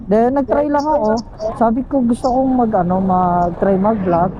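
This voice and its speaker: A woman's voice: fairly high pitch, fairly even intonation, moderate pace, dull audio. Joking Filipino woman rider over traffic noise. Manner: joking, teasing. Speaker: motorcycle taxi rider and vlogger. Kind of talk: casual chat with a passenger. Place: on a motorcycle on the street. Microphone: helmet intercom headset. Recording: clear traffic and engine noise.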